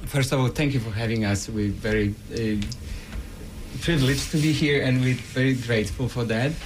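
A man's voice: medium pitch, normal speed, noisy background. A middle-aged man from Poland with a Polish accent, a guest on a radio show who speaks earnestly and gratefully, with a lot of fillers. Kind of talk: casual interview talk.

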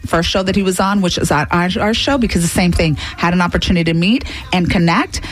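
A woman's voice: on the low side, fast talker. A woman hosting a local radio show from the studio, talking earnestly and at length to her guest and listeners. She fills her sentences with "um"s, starts over mid-thought, and keeps returning to appeals for support. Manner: talkative, restarts and "um"s, earnest appeals. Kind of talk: community radio pledge drive chat.

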